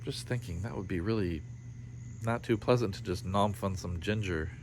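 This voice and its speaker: A man reading a storybook aloud with expressive narration. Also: deep voice, normal speed, a little hiss or hum. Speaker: storybook reader.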